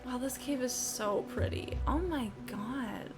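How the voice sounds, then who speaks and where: High-voiced, sweary woman streamer over game music. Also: takes it slowly. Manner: sweary, rambling, thinking aloud. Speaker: live streamer. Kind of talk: casual gameplay stream chat.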